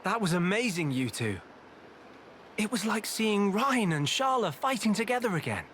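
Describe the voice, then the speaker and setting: An actor playing an earnest, thoughtful young adventurer in a video game cutscene. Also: male voice, high-pitched, very animated, moderate pace, a bit bright, a little hiss or hum. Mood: bright, encouraging.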